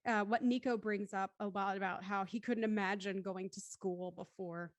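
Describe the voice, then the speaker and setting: A woman's voice: medium pitch, fairly even intonation, moderate pace, no room sound. A woman talking casually and reflectively in a group discussion.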